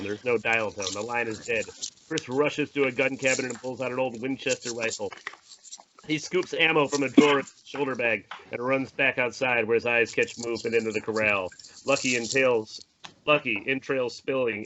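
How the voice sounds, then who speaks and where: A man's voice: medium pitch, moderate pace. A man narrating the stage directions of a screenplay in an informal table read. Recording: dull lo-fi audio with faint noise.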